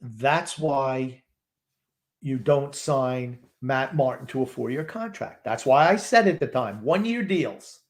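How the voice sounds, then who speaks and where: A man's voice: medium pitch, lively intonation, slow. A man co-hosting a live game watchalong podcast, blunt and complaining. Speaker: podcast co-host.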